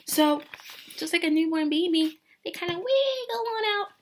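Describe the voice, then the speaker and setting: A woman teacher reading a picture book aloud to young children in an animated way, often putting questions straight to them. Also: very high-pitched, normal speed, a little hiss or hum.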